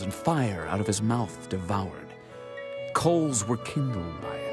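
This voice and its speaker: An actor playing a devout biblical king, reciting scripture in a solemn, measured and reverent manner. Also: lowish voice. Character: King David, devout warrior king reciting a psalm of praise. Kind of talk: dramatized scripture reading.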